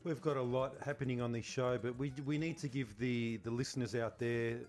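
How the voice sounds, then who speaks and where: A man's voice: medium pitch, steady tone, brisk, darkish tone, soft background music. A man co-hosting a podcast, joking and self-deprecating while he asks his co-host questions. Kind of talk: casual interviewing chat.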